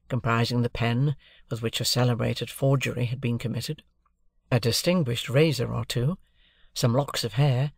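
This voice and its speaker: Guy narrating an old novel, gravelly creak in the voice, really dry close sound. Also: mid-range voice, no echo. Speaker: audiobook narrator. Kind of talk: scripted literary narration.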